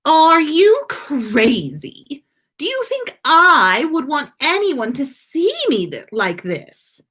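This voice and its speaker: A woman acts out a haughty teenage wizard boy from a storybook. He is sulky and exasperated, pitched high with big swoops, and he drags out his snarky complaints. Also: wildly swooping pitch, takes it slowly, bright, forceful tone, exaggerated voice. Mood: indignant. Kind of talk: animated fan fiction read-aloud.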